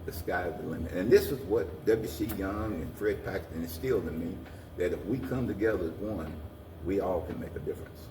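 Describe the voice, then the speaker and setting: Low-voiced man, echoey and distant. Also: fairly quick. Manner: earnest, rambling, repeats phrases. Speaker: guest, community organization president. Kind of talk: conversational interview answers. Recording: echoey, distant mic, noisy room.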